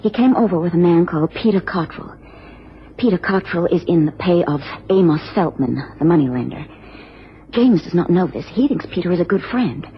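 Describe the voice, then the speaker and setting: A woman's voice: lowish voice, animated, fairly quick, close to an everyday voice. A woman playing an anxious, genteel American wife in a radio drama, speaking formally and earnestly with careful phrasing. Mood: worried. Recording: dull, noisy old radio recording.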